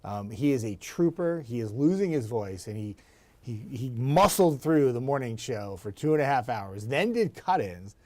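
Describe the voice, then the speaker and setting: A man with a raspy voice, a TV meteorologist chatting casually and rambling to viewers. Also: medium pitch, expressive, moderate pace, warm tone. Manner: chatty, rambling, laughs easily.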